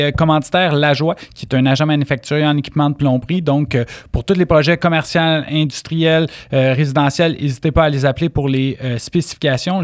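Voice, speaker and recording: Chatty Québécois man hosting a podcast. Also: on the high side. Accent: Québécois French. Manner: chatty, laughs often, interrupts with questions. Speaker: podcast host. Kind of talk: casual interview chat.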